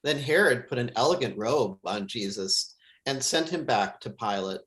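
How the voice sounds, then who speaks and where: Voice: medium pitch, animated, normal speed, a bit bright. A pastor reading scripture aloud dramatically for a worship service.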